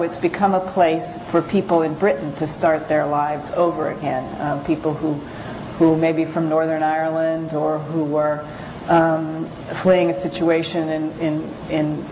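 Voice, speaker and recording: A woman photographer giving an informal lecture about her work, speaking haltingly with frequent pauses. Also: sits low, background noise. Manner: halting, hesitant, pausing often. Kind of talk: informal slide lecture with read-out excerpts.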